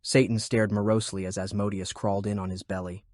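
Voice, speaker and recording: A man reading scripted fiction narration in a measured, deliberate way. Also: lowish voice, little pitch movement, darkish tone, no room sound, studio quality. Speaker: audiobook narrator. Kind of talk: scripted narration of a fantasy war novel.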